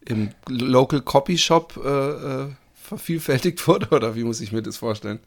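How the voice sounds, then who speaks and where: A man's voice: mid-range voice, normal speed. A man hosting a podcast, asking long, winding questions with many restarts and fillers. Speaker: podcast host. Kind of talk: casual podcast interview.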